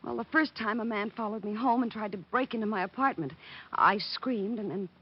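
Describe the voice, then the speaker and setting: A woman plays a feisty young working girl in 1940s radio drama. Her voice is husky and mid-pitched. She speaks plainly, and the sound is muffled and lo-fi. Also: brisk, natural voice. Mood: anxious, pleading. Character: feisty, plain-spoken young female nightclub photographer, anxious client. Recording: dull old radio recording.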